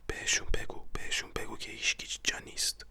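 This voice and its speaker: A narrator voicing a paranoid, agitated man from a stage play in a whispered voice. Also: pushed and bright. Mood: frantic, defiant.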